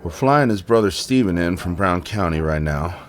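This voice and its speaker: Blunt cop with a deep voice. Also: male voice, lively intonation, normal speed. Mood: dry, grim. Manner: blunt, dry. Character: blunt, world-weary male police officer. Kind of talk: naturalistic audio drama acting.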